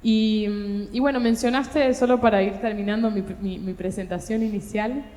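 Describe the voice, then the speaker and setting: A woman's voice: medium pitch, steady tone, moderate pace, warm tone. A woman publisher on a book fair panel, speaking Spanish tinged by Portuguese and explaining her work in a thoughtful, hesitant way with many filler sounds. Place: talk hall at a book fair. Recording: echoey hall with long reverb.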